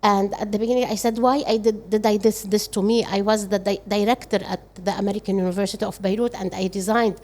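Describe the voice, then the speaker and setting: An older woman whose first language is Arabic speaks English with an Arabic accent at an ordinary middle pitch. She talks earnestly as an interview guest, often breaking off and restarting her sentences. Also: fairly even intonation, a little mellow. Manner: earnest, halting with frequent restarts. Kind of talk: reflective interview conversation.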